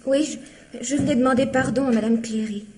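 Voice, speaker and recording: A calculating young accomplice in an old-fashioned radio mystery. Her voice is fairly high, yet dark and husky. She speaks in a composed, pointed way. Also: fairly quick. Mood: defensive.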